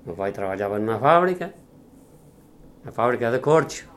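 A Portuguese-born man whose Spanish carries a Portuguese accent, recalling the past in a halting interview with many restarts. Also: mid-range voice, animated, a bit bright, slight background noise. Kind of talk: reminiscing interview.

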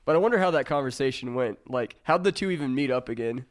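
A young man hosting a crude, casual podcast chat, leading the segments and laughing loudly between jokes. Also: medium pitch, quick pace. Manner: loud laughing, animated, leads the segments. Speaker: podcast host. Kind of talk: crude casual group banter.

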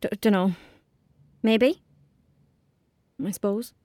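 A woman's voice: medium pitch, takes it slowly, warm tone, no echo, close to an everyday voice. A woman with an Irish accent playing a lonely, imaginative young country girl in a naturalistic audio drama, youthful and colloquial. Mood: glum, wistful. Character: lonely, anxious young girl in rural Ireland, sullen and imaginative.

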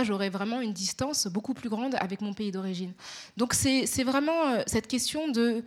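A young woman with a pronounced Parisian accent, answering interview questions in a measured, articulate way with frequent hesitations. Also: mid-range voice. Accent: Parisian French. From Paris, France. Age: young adult.

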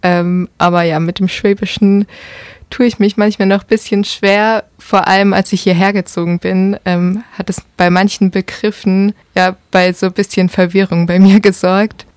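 A young woman from Karlsruhe with a slight Baden accent, co-hosting a casual podcast and pausing often on fillers. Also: medium pitch, level tone, a little mellow, smooth, dry recording. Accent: slight Baden German. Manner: hesitant, fills with ähm. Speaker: podcast co-host.

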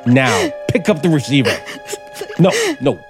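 Desperate, menacing scriptwriter. Measured delivery, dramatic pauses, vintage radio suspense with underscore. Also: male voice, medium pitch, very animated. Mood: commanding. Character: embittered, desperate male radio scriptwriter holding a gun. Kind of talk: 1950s radio suspense acting. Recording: 1950s radio broadcast, music behind.

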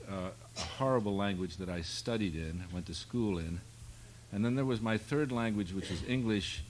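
A man's voice: lowish voice, darkish tone, a little hiss or hum, poor audio. A man in his sixties moderating a live panel, introducing the speakers in a wry, self-deprecating, rambling way. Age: 60s. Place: public panel event before an audience.

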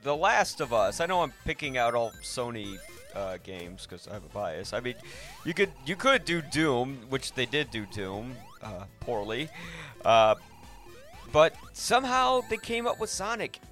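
A man's voice: medium pitch, sing-song, a little slow, a bit bright, soft background music. A man hosting a podcast, talking casually about films in a rambling, thinking-aloud way. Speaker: podcast host. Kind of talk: casual film discussion.

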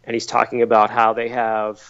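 Middle-aged man, gravelly voice, relaxed storytelling, dull lo-fi recording. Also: fairly low pitch, moderate pace. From Massachusetts, US. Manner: relaxed storytelling, repeats words while thinking. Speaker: podcast guest. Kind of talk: conversational interview answers.

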